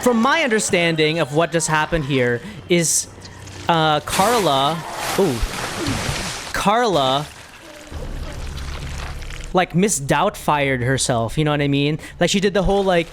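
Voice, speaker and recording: A woman's voice: low-pitched, lively intonation, slow, pauses often, noisy background. A woman giving casual, jokey, exclamatory commentary as she plays through a video game. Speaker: gamer reacting to a first playthrough. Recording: game music behind voice.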